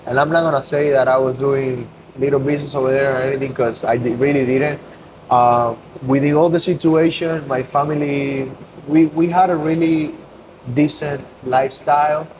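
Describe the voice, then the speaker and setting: A man's voice: medium pitch, fairly even intonation, moderate pace. A young man, talking earnestly as a podcast guest in a loose, casual way and slipping into Spanish now and then. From Cuba. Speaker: guest, business owner. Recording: noisy ambience.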